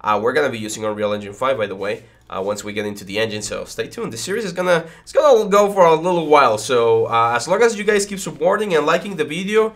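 A man's voice: mid-range voice, lively intonation, fast talker, hardly any pauses, a bit bright. Congested man rambling through a tutorial. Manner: rambling, frequent fillers and stammering. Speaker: 3D modelling instructor. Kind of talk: casual screen-recorded tutorial. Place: small home studio room with foam panels. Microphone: headset with boom mic.

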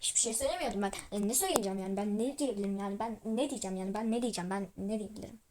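A woman's voice: mid-range voice, racing, noisy background, pitched below the performer's own voice, lightly acted. A woman voicing a weary, grumbling aquarium cleaning worker in a role-play story, muttering defensively and repeating phrases.

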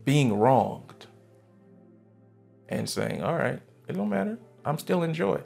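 A pastor in his thirties preaches on a church stage into a headset mic, his mid-range voice carrying a gravelly edge. He is fervent and emphatic, swinging from loud, punchy bursts down to hushed asides, with soft music faintly underneath. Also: on the high side, big pitch swings, slow. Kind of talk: sermon.

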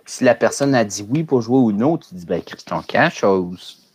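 A man's voice: lowish voice, animated, moderate pace, husky. A young man with a Quebec French accent chatting casually and emphatically, repeating himself and swearing now and then. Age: young adult. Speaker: podcast panelist. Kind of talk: casual group chat. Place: small room at home, video call. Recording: noisy video-call audio with clicks.

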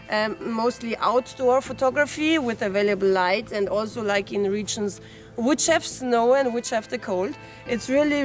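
A woman in her thirties from Austria, speaking with an Austrian accent and halting phrasing as she presents an informal product review outdoors. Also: on the high side. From Austria, Salzburg region. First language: German. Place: outdoors in an open snowy paddock.

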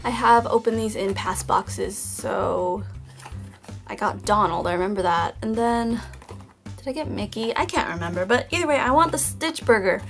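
Woman unboxing toys at her table, kinda hoarse, sweet chatty voice, faint music behind. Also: mid-range voice, normal speed, a bit bright. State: hoarse from just waking up. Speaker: toy unboxing channel host. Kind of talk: casual unboxing chat. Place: indoors at a table. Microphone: off-camera, hands-only tabletop shot.

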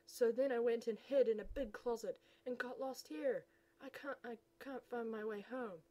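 A woman voicing a lost little girl who tells her story in a soft, hushed way. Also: a little high, moderate pace, lightly acted.

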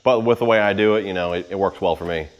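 A man in his thirties giving a casual, unscripted walkthrough to camera in an informal, rambling way, with pauses as he handles gear. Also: deep voice, lo-fi sound. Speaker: vlogger giving a boat tour. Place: home garage with the door open.

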